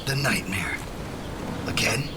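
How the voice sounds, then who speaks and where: A man's voice: lowish voice, expressive, takes it slowly, heavy background noise. A man playing a worried, weary companion of a fragile seer in an audio drama, earnest and sighing. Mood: alarmed.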